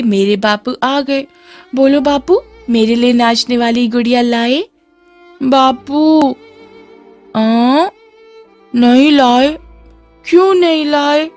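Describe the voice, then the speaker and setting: A woman's voice: high-pitched, darkish tone, voice pushed up. A woman voicing a deranged young woman, babbling in a dazed, childlike way.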